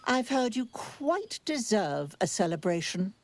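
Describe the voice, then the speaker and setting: An actress playing a wizard's tart, disapproving companion in a fantasy game, dry, sardonic and refined. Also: female voice, medium pitch, big pitch swings, unhurried. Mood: wistful, courteous. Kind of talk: dramatic game voice acting.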